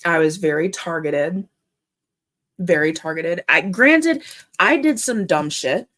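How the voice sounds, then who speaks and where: A woman from Minnesota hosting her own show, speaking with deep emotion in halting, earnest commentary. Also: animated, fairly bright tone. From Minnesota, USA. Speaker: host. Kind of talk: emotional personal commentary vlog.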